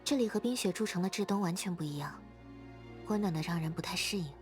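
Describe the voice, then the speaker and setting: A low, soft female voice over faint music, an actress playing a reserved young ballerina in a video game. She is gentle and measured, a little hesitant as she speaks. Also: expressive, close to an everyday voice. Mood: quiet, tender. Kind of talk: video game character dialogue. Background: soft background music.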